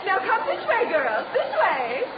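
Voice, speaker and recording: A woman's voice: very high-pitched, wildly swooping pitch, measured pace, over a noisy crowd, exaggerated voice. A woman playing a prim, flustered middle-aged old-maid chaperone in old-time radio drama, fussy, proper and exclamatory. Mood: brightly instructing. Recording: muffled, very noisy old radio recording.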